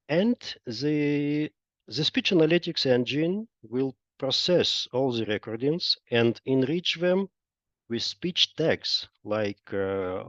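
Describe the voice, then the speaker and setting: A man presenting a software product in a webinar, explaining step by step with frequent pauses and hesitations. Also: mid-range voice. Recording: dull lo-fi roomy online webinar audio.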